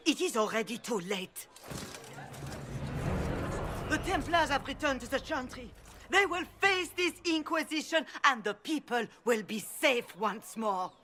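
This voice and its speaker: Forceful, pompous preaching matriarch. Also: female voice, high-pitched, big pitch swings, unhurried, a little hiss or hum, exaggerated voice. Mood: accusing. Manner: pompous, declamatory. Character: stern older Chantry mother denouncing a heretic. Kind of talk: public oratory in fantasy game cutscene.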